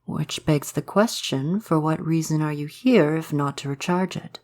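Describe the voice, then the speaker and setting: A man playing a gentle, courtly forest spirit in an ASMR roleplay, speaking in formal, old-fashioned, polite phrases. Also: a little high, moderate pace, natural voice. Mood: puzzled, curious. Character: gentle, courtly male forest spirit, curious about humans. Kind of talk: ASMR roleplay, soft romantic audio drama.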